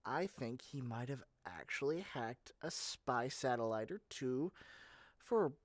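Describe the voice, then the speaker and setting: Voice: mid-range voice, expressive, slow pace, dry recording. A performer playing an eager, chatty salesperson in a scripted roleplay, rambling on and breezily matter-of-fact about menacing things. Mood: breezy, faintly menacing. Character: eager, chatty salesperson matchmaking dangerous obsessive lovers. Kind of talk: scripted ASMR roleplay addressed to the listener.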